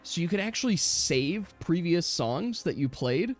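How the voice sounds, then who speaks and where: A man chatting casually and at length as a gaming stream host, emphatic and animated, often correcting himself. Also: a little high, measured pace. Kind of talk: casual rambling gamer chat. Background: background music.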